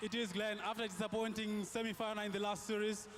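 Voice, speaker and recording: A man's voice: sits high, level tone, fast talker, a bit bright. A man, a confident contender talking himself up. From South Africa. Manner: confident, boastful. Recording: noisy arena.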